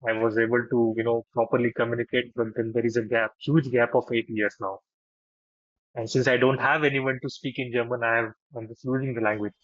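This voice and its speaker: A man's voice: medium pitch, level tone, a little fast, fairly bright tone, no room sound. Marathi-speaking man, talkative and opinionated, dry muffled call audio; casual call chat.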